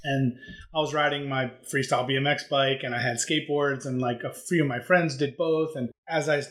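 A man's voice: mid-range voice, fairly even intonation, a little fast, a bit bright, echoey room. A man in his forties from the DC area, telling rambling, nostalgic stories in a casual chat. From Maryland and Virginia, near DC. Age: 40s. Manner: rambling, nostalgic, restarts often. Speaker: skate shop owner, guest.

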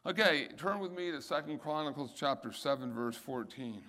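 A man's voice: on the high side, lively intonation, bright, forceful tone, a little hiss or hum. Male Bible teacher in a reverberant hall. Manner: halting, restarts phrases, slows on key words.

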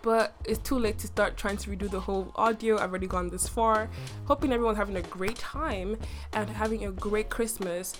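A young adult woman hosting a casual solo podcast, her voice slightly raspy from a cough, chatty and full of fillers with playful boasting. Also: medium pitch, fairly quick, soft background music. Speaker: podcast host.

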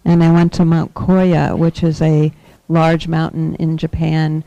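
Soft-voiced woman with a gravelly warmth. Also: sits low, monotone. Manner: gentle, measured, with small laughs. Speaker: guest teacher. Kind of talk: soft-spoken public talk. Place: temple hall. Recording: dull lo-fi recording.

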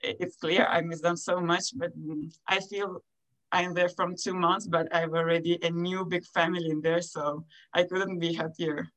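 Low-pitched young Italian woman, halting English. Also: level tone, a bit bright, rough recording. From Italy. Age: young adult. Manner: halting, searching for words. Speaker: college tennis player being interviewed. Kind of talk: interview answers.

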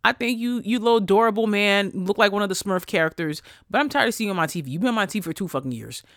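A man hosting a casual solo podcast, ranting in an animated, profane way that swings between loud bursts and quiet asides. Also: sits high, rapid-fire, dry recording, studio quality. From Haitian family. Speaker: podcast host.

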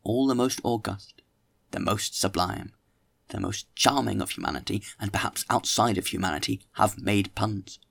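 A man's voice: lowish voice, moderate pace, gravelly, a bit bright, husky, no echo, exaggerated voice. A man voicing a pompous, tipsy young orator who preaches grandly at a dinner in an audiobook. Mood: lofty.

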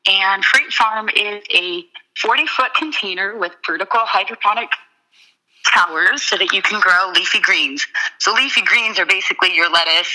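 A woman, the guest, explaining her urban farm in a casual interview, steady with frequent ums. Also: mid-range voice, moderate pace, poor audio.

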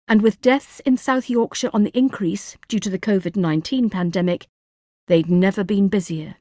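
A woman narrating fiction as an audiobook reader, in a measured, expressive storytelling manner. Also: medium pitch, no room sound, studio quality.

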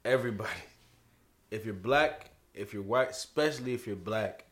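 A man co-hosting a casual podcast chat, animated and emphatic, often repeating himself, with a slightly hoarse voice. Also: fairly low pitch, moderate pace. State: hoarse from arguing. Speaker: podcast co-host.

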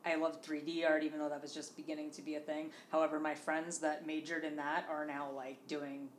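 A woman's voice: low voice, little pitch movement, a little fast, a little hiss or hum. A woman from Illinois, a podcast guest, talking in a rambling, chatty way full of fillers. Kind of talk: casual chat.

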